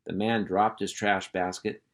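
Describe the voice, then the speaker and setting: A man reads a children's chapter book aloud with folksy, expressive storytelling. His voice sits a touch low, steady and unhurried as he moves through the tale. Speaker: school superintendent reading aloud. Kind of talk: storybook read-aloud narration.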